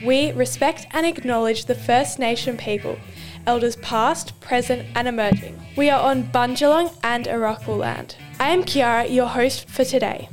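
A woman's voice: on the high side, moderate pace. A teenage girl with an Australian accent reading a scripted introduction as host of a youth radio show. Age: teens. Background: music.

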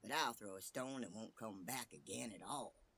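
A man's voice: on the low side, normal speed, pushed and bright, a little hiss or hum. Man doing a cocky, boastful little storybook tailor. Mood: eager, smug. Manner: boastful, cheeky, exclamatory. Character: small, boastful, cocky male tailor hero. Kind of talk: storybook character voice.

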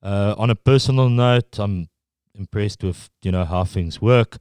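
Middle-aged South African guest, Afrikaans accent, resonant low voice, stop-start delivery. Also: male voice, a little mellow, clean tone, no room sound. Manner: halting, full of restarts and fillers. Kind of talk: casual interview chat.

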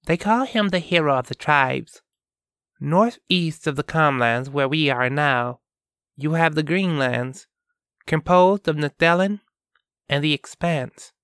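A man voicing a young woman who explains history in a measured way. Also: a little high, a bit bright, clear, resonant tone, no room sound, clean studio sound, pitched above the performer's own voice. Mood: explaining. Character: knowledgeable young woman, lore teller.

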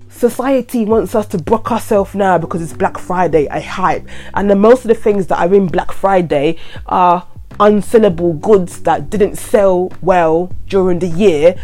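A woman, croaky from a head cold, giving chatty, repetitive money advice straight to her viewers. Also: fairly low pitch, mellow. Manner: chatty, repetitive, earnest warnings. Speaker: vlogger. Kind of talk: casual advice monologue to camera.

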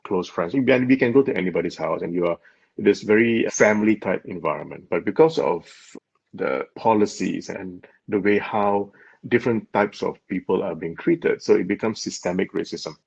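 A man's voice: sits low, normal speed, vocal fry, a little mellow, husky. A man from Malaysia, a guest in an interview, explaining in an earnest way and laughing often. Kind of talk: conversational interview. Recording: dull lo-fi sound.

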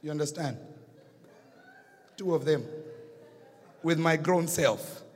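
A man with a Kenyan accent preaching a sermon, telling a story and swinging from hushed to shouting. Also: on the high side, takes it slowly, long reverb tail. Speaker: pastor. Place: church hall.

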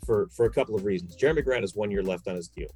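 A man's voice: fairly low pitch, rapid-fire, dark tone, soft background music. A man in a casual sports podcast, arguing matter-of-factly with rhetorical questions.

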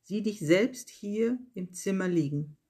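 A yoga nidra guide reading a scripted relaxation in calm, measured short phrases with pauses. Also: medium pitch, husky. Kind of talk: slow guided relaxation meditation, read from a script.

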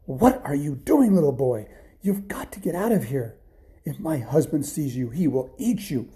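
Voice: medium pitch, expressive, mellow, natural voice. A storyteller voicing a giant's protective wife in a fairy tale, bossy and urgent. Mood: urgent warning. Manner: bossy, hurried warnings.

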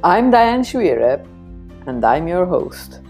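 A woman hosting a podcast, introducing and questioning in a conversational, reflective way with deliberate pauses. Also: fairly low pitch, very animated, a little mellow, faint music behind. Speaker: podcast host.

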